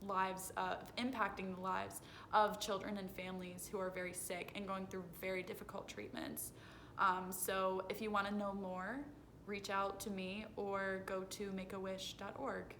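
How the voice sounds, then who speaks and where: A woman in her twenties giving earnest, explanatory, rehearsed answers as an interviewee. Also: a bit bright. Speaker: interviewee, foundation communications director. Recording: phone video with noisy low rumble.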